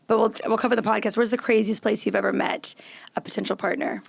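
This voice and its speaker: A woman's voice: medium pitch, level tone, fast, vocal fry, no echo. A woman in her late thirties hosting a podcast, upbeat and encouraging as she asks questions. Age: 30s. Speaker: podcast host. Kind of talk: casual interview chat.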